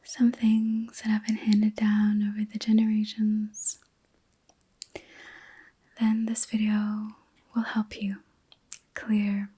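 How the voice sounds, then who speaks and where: Woman doing asmr reiki, soft mellow whisper, gentle n soothing, barely any pitch movement. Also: breathy edge. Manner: gentle, soothing, unhurried with pauses. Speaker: ASMR host and reiki practitioner. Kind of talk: whispered ASMR guided relaxation session.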